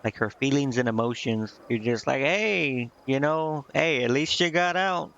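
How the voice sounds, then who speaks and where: A man giving casual, informal let's-play commentary as a game streamer, talking in a halting way. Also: mid-range voice, animated, vocal fry, a bit bright. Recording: dull lo-fi mic, music behind. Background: game music.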